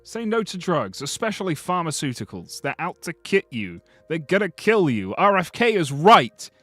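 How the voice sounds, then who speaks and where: A man with a slightly hoarse voice, rambling and joking freely as he chats through a livestream. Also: fairly high pitch, very animated, normal speed, sharp tone, no echo, soft background music. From Britain. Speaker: streamer. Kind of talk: casual livestream commentary.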